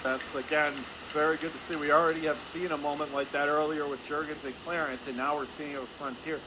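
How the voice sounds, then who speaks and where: Guy doing radio hockey play by play, slightly high voice, clipped phrases, loud rink noise behind him. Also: flat delivery, brisk. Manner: clipped running phrases, voice rising with the action. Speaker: play-by-play sports announcer. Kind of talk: live radio hockey commentary. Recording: constant loud arena background noise. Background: hockey arena ambience.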